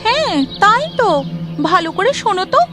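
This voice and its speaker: A woman's voice: extremely high pitch, animated, brisk, over music. A woman playing an anxious, coaxing village wife in a cartoon horror story. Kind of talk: dramatic cartoon dialogue.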